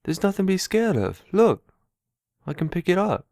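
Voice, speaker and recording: A man playing a timid, earnest, slow-minded young runaway who speaks in a simple, halting, pleading way. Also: sits high, sing-song, gravelly, darkish tone, exaggerated voice. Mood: gentle reassuring.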